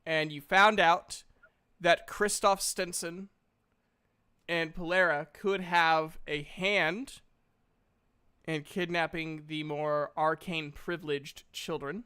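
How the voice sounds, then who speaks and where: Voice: mid-range voice, fairly even intonation, slow, pushed and bright, smooth. A game master hosting a livestream, chatting casually and recapping a tabletop game in an informal, sweary way. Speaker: game master and stream host.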